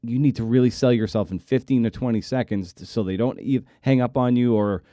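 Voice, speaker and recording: A man's voice: mid-range voice, brisk, warm tone, no room sound, clean studio sound. A man hosting an interview podcast, chatting casually and emphatically, repeating words for stress and poking fun at himself.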